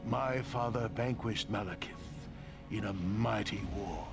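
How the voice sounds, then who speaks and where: A man playing a vain, scornful trickster prince who narrates theatrically, pompous and sardonic, with dramatic pauses. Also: fairly low pitch, creaky. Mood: grand, ominous. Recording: music behind the voice.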